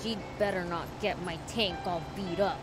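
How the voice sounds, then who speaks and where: A woman's voice: on the low side, steady tone, normal speed, music in the background, lightly acted. A woman voicing a cocky, blunt young male demon prince in a video game, with a boyish voice. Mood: grumbling.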